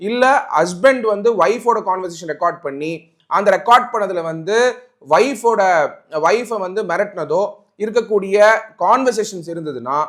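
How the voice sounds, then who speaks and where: A man with a Tamil accent giving an explanatory legal talk, didactic, restating key points as he goes. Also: sits high. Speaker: advocate presenting a legal explainer.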